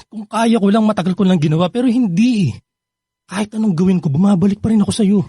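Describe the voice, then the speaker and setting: An actor playing a lovesick, persistent young ex-boyfriend in a Filipino radio drama, earnest and pleading. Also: male voice, high-pitched, fast, warm tone, husky, dry recording, clean studio sound. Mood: desperate pleading. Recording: music bed behind the voice.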